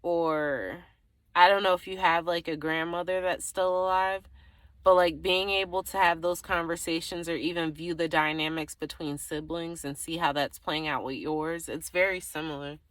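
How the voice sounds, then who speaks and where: A woman's voice: fairly low pitch, fairly even intonation, moderate pace, a bit bright, no echo. A woman giving a casual, improvised tarot reading to the viewer, thinking aloud in a rambling way, often trailing off and circling back. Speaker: tarot reader.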